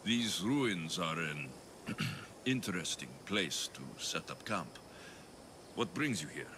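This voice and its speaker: A man's voice: low voice, sing-song, slow pace, bright, forceful tone, a little hiss or hum, exaggerated voice. A man playing a bold, wry Greek mercenary hero in a video game, with a Greek accent. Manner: bold, wry, matter-of-fact. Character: confident, dry-witted heroic male Greek mercenary. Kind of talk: video game character acting.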